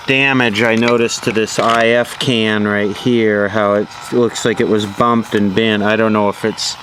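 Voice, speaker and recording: A man with a slightly low voice talks very slowly and offhandedly through a hands-on repair. He thinks aloud like a hobbyist at the bench. It sounds like amateur camera audio, with faint noise behind him. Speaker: electronics repair hobbyist presenting his own video. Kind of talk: casual hands-on repair commentary.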